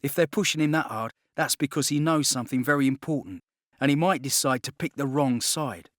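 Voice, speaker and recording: A man's voice: mid-range voice, a little fast, fairly bright tone, dry recording, studio quality, voice pushed up, close to an everyday voice. A man voicing a suspicious, hot-tempered young man from London's East End in an audiobook, urgent and intense. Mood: wary, intense.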